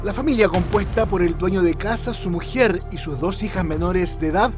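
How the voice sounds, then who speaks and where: A Chilean man reads a crime report as a scripted voice-over, measured and dramatic. His voice sits high for a man. A clear music bed plays under the narration.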